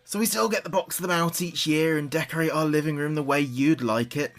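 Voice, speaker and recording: A man's voice: a little high, a little fast, fairly bright tone. An actor playing a grieving adult son in an audio drama, speaking hesitantly and confidingly as if taping messages for his dead father. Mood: loud, cheerful. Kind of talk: audio drama monologue as a taped diary.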